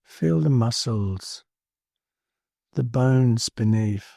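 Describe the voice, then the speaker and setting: A man leading a guided meditation in a calm, soothing manner, leaving space between short phrases. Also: medium pitch, very slow, creaky, no echo, studio quality. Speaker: meditation teacher. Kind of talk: guided body scan meditation.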